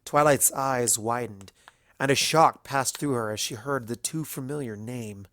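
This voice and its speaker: A man's voice: mid-range voice, a little slow, fairly bright tone, dry recording, studio quality. A man reading scripted fantasy fiction aloud as an audiobook-style narrator.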